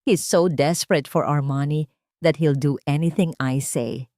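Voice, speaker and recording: A woman's voice: low-pitched, measured pace, darkish tone, dry recording, clean studio sound. Woman voicing a smug, greedy young heiress. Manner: boastful, gloating. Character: smug, greedy young rich fiancée scheming.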